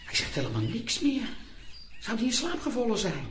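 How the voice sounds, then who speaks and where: A woman's voice: on the high side, huge pitch swings, moderate pace. A woman playing an eager, fussy, anxious toilet attendant in a Dutch radio comedy, polite and hesitant in her speech. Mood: worried. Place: echoey public toilet scene. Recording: roomy echo, noisy background.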